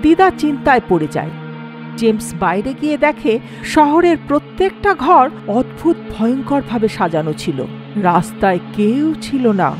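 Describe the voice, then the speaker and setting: A woman's voice: sits high, very animated, normal speed, over music. A woman narrating a scripted horror story in a dramatic storytelling manner.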